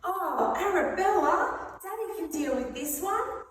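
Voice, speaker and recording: Fed-up, revolted storybook adult, read by a woman: high voice, overplayed exasperation, roomy distant sound. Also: animated, unhurried. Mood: exasperated disgust. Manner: exaggerated exasperation. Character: disgusted, exasperated grown-up complaining of a smell. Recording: very echoey hall, distant mic, faint noise.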